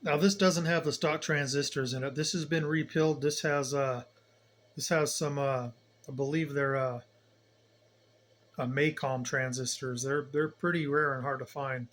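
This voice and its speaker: A man's voice: medium pitch, fairly even intonation, normal speed, a bit bright. A man giving a casual hands-on demonstration as a hobbyist host, plain-spoken and colloquial, with emphatic turns of phrase.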